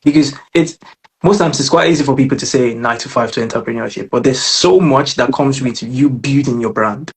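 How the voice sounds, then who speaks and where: A male host with a husky, slightly breathy voice asks his guest questions in a relaxed, encouraging way. He pads his speech with fillers and often circles back to rephrase a question. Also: medium pitch, brisk, poor audio. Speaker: interview host. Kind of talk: casual interview conversation.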